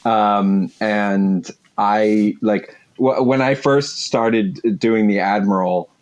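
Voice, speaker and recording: A man chatting casually as an interview guest, rambling and halting as he searches for words. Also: fairly low pitch, fairly even intonation, lo-fi sound. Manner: halting, rambling, heavy use of like. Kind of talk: casual chat.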